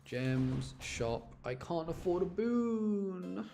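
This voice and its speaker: A man's voice: sits high, very animated, very slow, creaky, mellow, faint music behind. A man giving casual, chatty live game commentary, thinking aloud and explaining choices to his chat in an apologetic way. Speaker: game streamer talking to chat.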